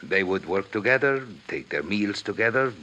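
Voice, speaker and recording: A solemn, courteous old-time radio merchant, very low yet swooping widely in pitch. He phrases formally and deliberately, with a forceful edge, over faint noise. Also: lively intonation, lo-fi sound. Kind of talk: 1950s radio drama acting. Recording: noisy 1950s radio recording.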